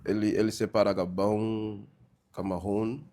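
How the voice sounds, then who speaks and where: Male pastor from Congo and Angola as interview guest, fairly low voice, telling his own story haltingly. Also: flat delivery, dark tone. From born in Congo, grew up in Angola. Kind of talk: personal testimony in an interview.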